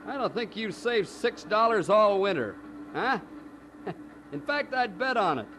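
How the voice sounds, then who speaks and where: A brash, skeptical workmate from an old radio drama. His voice is high and swings widely in pitch as he needles and teases in blunt everyday talk. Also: very animated, a little slow, a bit bright, a little hiss or hum, exaggerated voice. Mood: teasing. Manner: teasing, blunt, colloquial. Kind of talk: mid-century radio drama acting.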